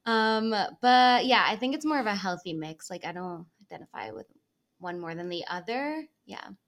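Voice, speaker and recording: A woman's voice: medium pitch, normal speed. Friendly young woman from New York and Israel. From United States and Israel. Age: 20s. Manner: relaxed, friendly, lots of fillers. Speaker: podcast guest. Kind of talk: casual interview chat.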